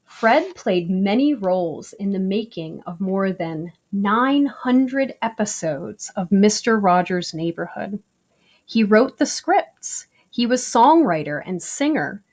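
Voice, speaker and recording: A woman reading a picture book aloud for children, with deliberate phrasing. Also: mid-range voice, a little mellow. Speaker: story reader. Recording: slightly dull, lo-fi recording.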